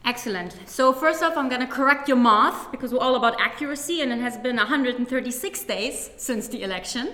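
A woman's voice: a little high, fairly quick, few pauses, sharp tone. A woman giving explanatory commentary as a political analyst on a panel, emphatic and precise in how she explains. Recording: echoey, reverberant hall.

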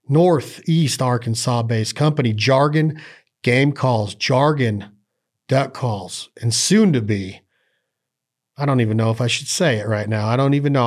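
A man hosting a podcast in a rambling, joking, folksy way, drifting into ad reads. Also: medium pitch. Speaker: podcast host. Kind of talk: casual podcast chat and ad reads.

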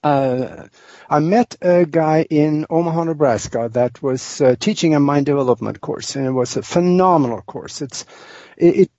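A man's voice: a little high, normal speed, mellow, no echo. Norwegian-accented older man, dull lo-fi sound. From Norway. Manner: rambling, many ums and uhs. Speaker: guest. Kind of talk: interview storytelling.

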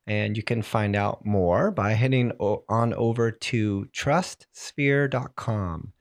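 A man hosting a solo tech podcast, explaining software settings while fighting a cold, clearing his throat now and then. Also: lowish voice, slow pace. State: has a cold, voice strained. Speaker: podcast host.

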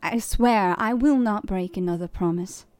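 A woman voicing a grave, vengeful witch in a formal, measured fantasy character monologue. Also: medium pitch, darkish tone, no echo, lightly acted. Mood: vengeful resolve. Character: solemn, vengeful witch bound by prophecy.

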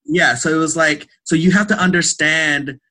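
A man with a bright, crisp-edged voice talks as a guest in a casual interview. He works through his ideas aloud, often restarting a phrase. He checks in with the listener as he goes. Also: a little high, fairly even intonation, moderate pace, a little hiss or hum. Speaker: podcast guest, theatre artist and academic.

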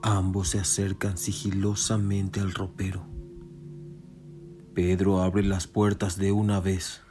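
A man narrating a scripted horror radio play in a measured, suspenseful way. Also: sits low, monotone. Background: prominent music.

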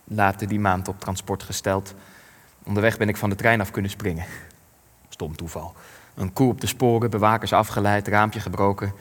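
An actor playing an elderly war survivor in a radio play, grieving and ashamed, speaking in measured, weighty phrases. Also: male voice, on the low side, steady tone, natural voice. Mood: grave recollection. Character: elderly male war survivor, grief-stricken, ashamed, plain-spoken. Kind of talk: radio play acting, testimony. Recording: long hall-like reverb.

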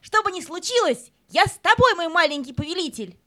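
Woman as an excitable high-pitched loyal dog. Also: a little fast, full character voice. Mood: devoted. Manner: barking, fervent exclamations. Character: devoted, excitable male pet dog, fiercely loyal. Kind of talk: audio play for children.

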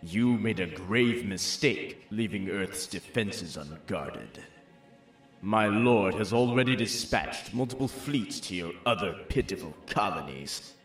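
Pompous evil space admiral, low and slow, contemptuous, sci-fi radio play. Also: male voice, expressive, fairly bright tone. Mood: menacing, gloating. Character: haughty, menacing male villain grand admiral. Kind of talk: sci-fi audio drama acting. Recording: echoey, distant, faint music. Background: faint music.

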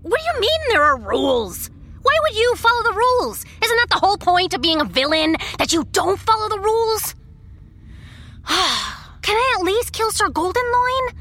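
A cheeky, excitable teenage girl villain's sidekick in an audiobook drama. Her voice is high and bright, swinging freely in pitch, with casual enthusiasm. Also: normal speed, full character voice. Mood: indignant. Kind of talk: full-cast audiobook drama.

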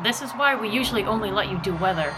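A woman's voice: medium pitch, lively intonation, quick pace, music in the background, natural voice, low-quality recording. A woman playing a businesslike, dryly exasperated studio anchor in a spoof of 1930s radio news.

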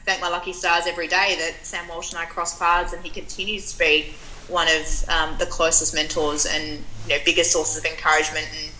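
Bright-voiced young woman. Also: sits low, flat delivery, a little fast, a little hiss or hum, poor audio. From Australia. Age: 20s. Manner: reflective, storytelling, frequent restarts. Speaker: guest. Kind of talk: conversational interview answers.

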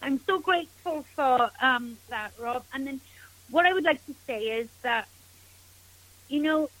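A woman's voice: high voice, unhurried, a bit bright, low-quality recording. A woman with a Welsh accent, a spiritual healer speaking as a guest on a radio interview, earnest and gushing with thanks.

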